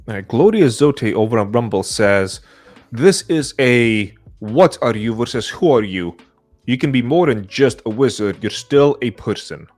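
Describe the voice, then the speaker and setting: A man's voice: mid-range voice, expressive, a little slow, a little mellow, soft background music. A man hosting a podcast, reading out comments from the chat.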